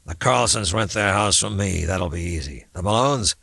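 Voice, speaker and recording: A man's voice: deep voice, moderate pace, creaky, pushed and bright, no echo, studio quality, full character voice. A man voicing a hard, menacing small-town boss in an audiobook, speaking in a commanding, threatening way. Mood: calculating, commanding.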